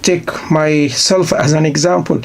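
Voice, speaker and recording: Male lecturer, a little high-pitched, rough and gravelly, halting delivery with many rhetorical questions. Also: fairly even intonation. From Egypt. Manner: halting, many restarts and repeated words. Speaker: literature lecturer. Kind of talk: unscripted university lecture.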